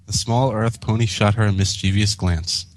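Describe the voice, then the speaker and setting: Low-voiced male fan fiction reader. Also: steady tone, moderate pace, a little hiss or hum. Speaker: co-host and story reader. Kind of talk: comic fan fiction narration.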